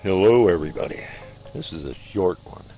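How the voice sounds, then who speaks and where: A man's voice: sits low, lively intonation, fairly quick, highs cut off, music in the background. A man vlogging casually in a folksy, easygoing, rambling way. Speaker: vlogger showing farm life. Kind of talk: casual rambling outdoor chat. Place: outdoors on a farm and riding in a vehicle. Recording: noisy outdoor recording, vehicle and insects.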